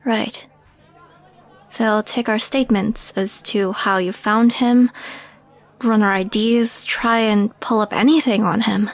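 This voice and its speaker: Woman acting slow-talking skeptical young woman. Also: medium pitch, fairly even intonation, a faint crowd. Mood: wry. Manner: hesitant, wry, questioning. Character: skeptical, wry alien young woman, reluctant accomplice. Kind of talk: intimate audio roleplay acting.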